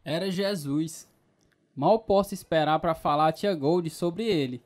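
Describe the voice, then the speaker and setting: A man's voice: on the high side, unhurried, natural voice. An actor playing an earnest male new convert, telling his story in a Brazilian radio drama. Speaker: narrator and lead actor. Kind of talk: scripted radio drama narration and dialogue.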